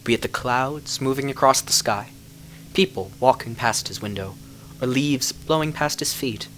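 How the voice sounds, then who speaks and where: A man reading a dark tale as a dramatic narrator, with theatrical, deliberate emphasis and music behind him. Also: medium pitch, a little hiss or hum, poor audio. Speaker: narrator reading lore books.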